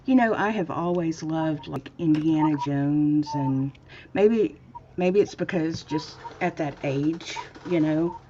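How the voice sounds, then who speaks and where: A woman in her fifties hosts a casual chat with friends. She talks slowly and meanders, with a mellow, low voice. The audio is dull and carries faint background noise. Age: 50s. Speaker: host of a painting stream.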